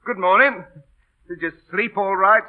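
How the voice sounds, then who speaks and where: Cocky busker, muffled old radio. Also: male voice, high voice, a little slow. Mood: breezy, bantering. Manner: theatrical, wry, colloquial. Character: cocky, teasing, kindhearted working-class London busker. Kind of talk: 1930s-40s radio drama acting.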